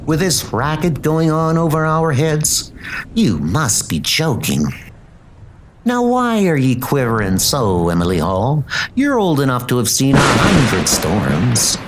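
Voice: medium pitch, very animated, takes it slowly, slight background noise. A performer voicing a fussy, plain-spoken elderly woman, a castle housekeeper, in a comic book dub. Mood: grumbling.

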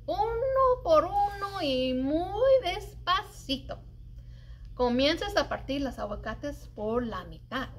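A middle-aged woman reading a children's picture book aloud as a library storyteller, with expressive, deliberate delivery and drawn-out emphasized words. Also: sits high. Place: indoors in a library children's room. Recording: light background room noise.

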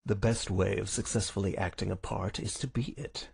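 A man's voice: fairly low pitch, steady tone, moderate pace, vocal fry, darkish tone, slightly rough, heavily acted. A man voicing a brilliant, self-assured detective in a storybook reading, wry and theatrical, with precise, lofty courtesy. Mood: relieved, wry. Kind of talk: dramatised audiobook reading.

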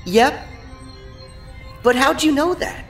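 A man's voice: very high-pitched, slow pace, fairly bright tone, full character voice. A man voicing an earnest, plucky, loyal teenage boy hero in a video game cutscene. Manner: earnest, boyish. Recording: game music underneath.

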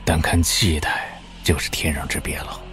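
A grave old martial master in an audio drama. His voice is deep and he speaks with solemn, measured loyalty. Soft music runs underneath. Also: flat delivery. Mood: cautious.

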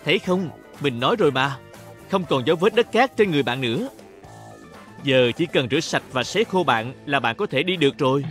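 A man's voice: on the high side, lively intonation, brisk. A man narrating a children's cartoon in speech, talking to the characters like a storyteller for young children. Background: music.

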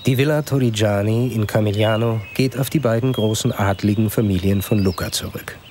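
A man giving calm, measured scripted narration for a documentary voice-over. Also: on the low side, slight background noise. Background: music under the narration.

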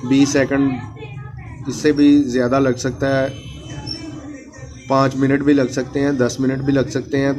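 A man talking viewers through a phone repair step by step, repeating each instruction as his hands work. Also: mid-range voice. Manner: repetitive instructional phrasing, addressing viewers as friends. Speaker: phone repair tutorial host. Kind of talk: step-by-step hands-on tutorial narration. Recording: noisy home recording.

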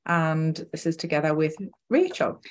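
A woman's voice: sits low, sing-song, normal speed. A woman in her forties with a Newcastle Geordie accent, presenting an informal product webinar.

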